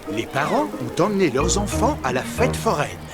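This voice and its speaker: Male narrator, children's cartoon storytelling, lively intonation, loud music underneath. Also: on the high side, moderate pace.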